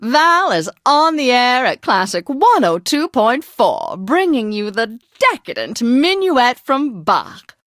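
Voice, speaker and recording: A woman's voice: on the high side, very animated, slow, fairly bright tone, dry recording, studio quality, exaggerated voice. An actress playing a flamboyant, martini-loving lady DJ on a classical radio station in a video game, with a theatrical, gushing, old-fashioned manner. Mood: dreamy, gushing. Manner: theatrical, affected, gushing, old-time slang and endearments. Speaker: radio host.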